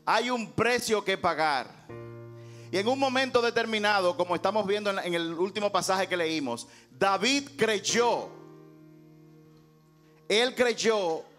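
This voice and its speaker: A man with a high-pitched voice preaches as a pastor. His delivery is emphatic and rhetorical, slipping into dramatized scripture dialogue, with instrumental music faintly behind him. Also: expressive, unhurried, pauses often, fairly bright tone. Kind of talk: sermon preached to a congregation.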